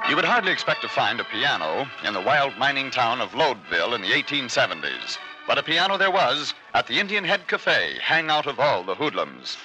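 A man's voice: mid-range voice, wildly swooping pitch, brisk, few pauses, pushed and bright, soft background music, low-quality recording. A man narrating a western adventure as a dramatic old-time radio announcer. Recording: old radio broadcast recording.

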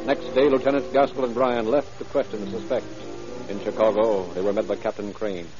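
A man's voice: lowish voice, flat delivery, fairly quick, natural voice. A man narrating a scripted 1940s-style radio police drama in a formal manner. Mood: solemn. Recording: muffled old radio recording, loud music.

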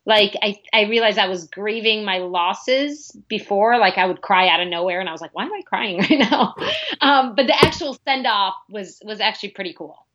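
A woman's voice: medium pitch, normal speed. Female podcast guest, thirties, originally from Lebanon, non-native English speaker, candid and informal, on a slightly muffled mic. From born in Lebanon, moved to America at ten. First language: not English. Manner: candid, informal, animated quoting of own thoughts. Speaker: podcast guest, nonprofit founder. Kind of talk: casual conversational interview.

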